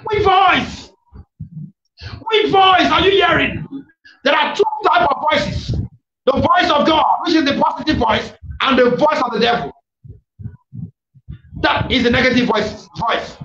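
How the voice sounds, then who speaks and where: A man preaching a fiery sermon, shouting forceful, repetitive prayer declarations as a preacher. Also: extremely high pitch, measured pace, distant mic. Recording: echoey, dull, noisy room recording.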